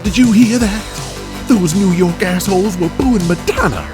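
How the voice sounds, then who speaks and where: A man's voice: sits high, moderate pace, voice pushed up, lightly acted. A man voicing a small, irate celebrity manager, indignant, whiny and profane, in an audio drama. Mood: indignant, furious. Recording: loud music behind.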